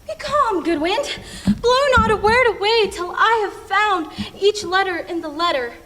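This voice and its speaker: Lovesick young Shakespearean heroine played by a student actress, passionate, fretful, very slow verse, echoing hall. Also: female voice, animated, pushed and bright, full character voice. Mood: fretful, longing. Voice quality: high-pitched. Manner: slow, dramatic verse delivery. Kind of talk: stage Shakespeare monologue. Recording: echoing hall, distant microphone.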